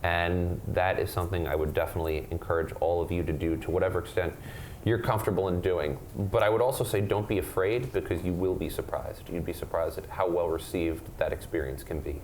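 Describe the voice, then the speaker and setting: A young man with a deep voice answers audience questions as a state legislator. He speaks earnestly and often restarts or repeats words mid-sentence. A faint hum sits behind him. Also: fairly even intonation, fast, a little mellow, low-quality recording. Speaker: state legislator at advocacy forum. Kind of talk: panel Q&A answers.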